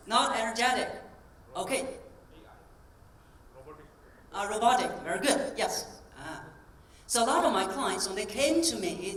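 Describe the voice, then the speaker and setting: A woman voice coach giving an energetic keynote talk, coaxing the audience through exercises on stage. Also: on the high side, slight background noise. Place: large conference hall on stage. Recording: roomy hall, distant mic, faint ambience.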